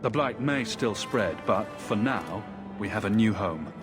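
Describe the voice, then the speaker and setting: A determined young hero in a fantasy video game. He sounds composed and measured, with a fairly low voice. Loud music runs behind his words. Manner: calm, measured. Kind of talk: video game cutscene acting.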